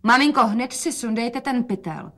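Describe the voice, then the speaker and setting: Woman acting a lively grown daughter, calling and whispering. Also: a little high, pitched above the performer's own voice. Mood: bright, calling. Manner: switches between bright calling and secretive whispering. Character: Rozetka, lively grown daughter dressing for a ball, doting.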